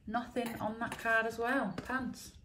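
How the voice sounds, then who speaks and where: A woman, croaky from a chest infection, chatting casually while she reads out letters and symbols on scratch cards at a table indoors. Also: a little high, little pitch movement, measured pace, pushed and bright, slight background noise. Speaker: scratch card vlogger. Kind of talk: casual commentary.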